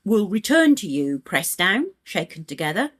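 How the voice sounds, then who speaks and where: A woman reading a spiritual text aloud as scripted audiobook narration, in a measured, solemn and emphatic way. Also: medium pitch, sing-song, a bit bright. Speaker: audiobook reader.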